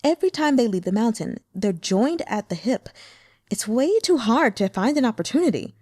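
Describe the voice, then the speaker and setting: A woman's voice: on the high side, very animated, normal speed, dark tone, no room sound, studio quality. A woman voicing a coy, teasing demonic seductress. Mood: pleading.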